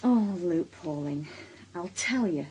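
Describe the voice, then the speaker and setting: A woman's voice: fairly low pitch, lively intonation, takes it slowly, a little mellow, a little hiss or hum, poor audio. An actress playing an anxious, defensive working-class mother with a Northern English accent in a naturalistic radio drama, speaking hesitantly and evasively. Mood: confiding, evasive.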